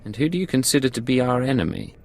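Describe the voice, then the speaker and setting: A man's voice: medium pitch, big pitch swings, quick pace, darkish tone. A man playing a cool, confident imperial secret agent in a sci-fi video game, with clipped, assured delivery. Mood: cool, probing. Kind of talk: scripted video game dialogue.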